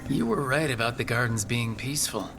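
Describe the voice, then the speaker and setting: A plain-spoken fantasy game hero, wry and conversational. His voice is medium in pitch, with clear music running behind it. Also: expressive, brisk, fairly bright tone. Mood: curious. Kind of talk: video game voice acting.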